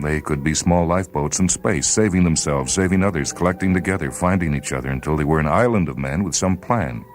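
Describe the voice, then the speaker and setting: An extremely low-voiced man reads fiction as a radio storyteller. His delivery is solemn, measured and dramatic, with music playing faintly behind him. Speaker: host and reader of a radio short story series. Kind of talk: dramatic scripted narration. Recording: radio reading over background music.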